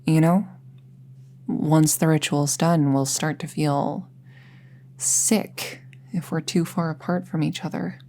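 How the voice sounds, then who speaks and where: A woman playing an earnest apprentice witch in an intimate roleplay audio drama, speaking in a hesitant, gently reassuring way. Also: low voice, fairly even intonation, slow. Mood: earnest, careful. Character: earnest, careful apprentice witch, close friend to listener.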